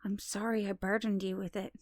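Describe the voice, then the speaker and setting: A woman playing a gentle, knowing long-lost mother in an audio drama, maternal with a touch of reproach. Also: mid-range voice, flat delivery, fairly quick, dry recording, studio quality, lightly acted. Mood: regretful.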